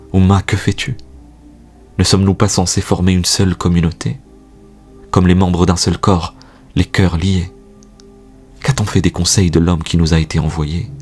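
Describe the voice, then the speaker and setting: A man with a husky voice recites spoken-word poetry in a solemn, earnest, exhortatory manner, posing rhetorical questions. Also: low-pitched. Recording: music bed behind the voice. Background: music.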